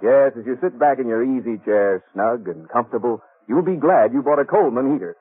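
A man's voice: mid-range voice, expressive, fairly quick, slightly rough. A man with an American accent announcing in emphatic, salesmanlike 1950s radio commercial style. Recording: muffled vintage radio broadcast recording.

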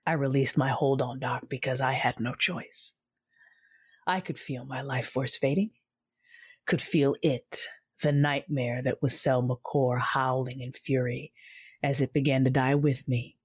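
An actress voicing a tormented young Sith woman who narrates her own story, intense and low, dropping to ragged whispers, over dramatic music. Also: fairly even intonation, measured pace, husky, exaggerated voice. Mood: fading. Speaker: first-person narrator.

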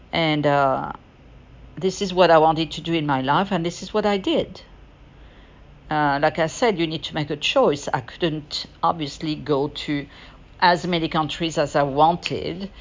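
Low-voiced, slow-speaking woman podcaster. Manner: hesitant, halting delivery with pauses. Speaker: podcast host. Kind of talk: unscripted personal monologue. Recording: dull, lo-fi audio.

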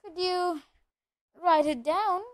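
Young storybook boy, read by a woman: bewildered, earnest, insistent, high-pitched. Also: slow pace. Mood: tentative. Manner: questioning, insistent.

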